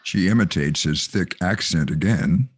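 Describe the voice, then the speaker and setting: A man narrating a stage script and its directions in a measured, theatrical manner. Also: low voice, husky, dry recording, clean studio sound.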